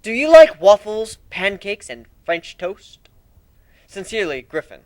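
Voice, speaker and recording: A man's voice: high-pitched, very animated, a little slow, darkish tone, voice pushed up. A man voicing a brash, cheeky griffin pirate leader in a storybook reading, conversational and exclamatory. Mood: cheeky, upbeat.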